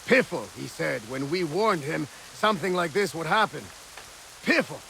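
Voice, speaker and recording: Gravelly blunt army officer, bright edgy voice, swings up and down a lot. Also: male voice, on the high side, lively intonation, moderate pace, a little hiss or hum, full character voice, poor audio. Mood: wry. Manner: blunt, barking orders. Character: gruff blustering male revolutionary soldier, middle-aged.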